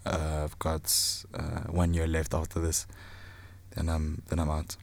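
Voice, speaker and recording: A man's voice: a bass voice, completely flat delivery, a little slow, gravelly, darkish tone. A South African man in his twenties, talking casually about himself as an interview guest. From Zimbabwe and South Africa. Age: 20s. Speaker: podcast guest, film student and photographer.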